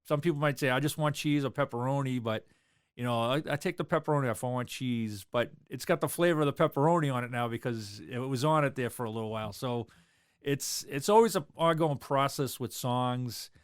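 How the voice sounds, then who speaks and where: A man's voice: medium pitch, a little fast, smooth, dry recording, studio quality. A man, a drummer talking loosely in an interview, rambling with lots of fillers. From South Boston, USA. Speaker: drummer and guest. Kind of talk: casual interview chat.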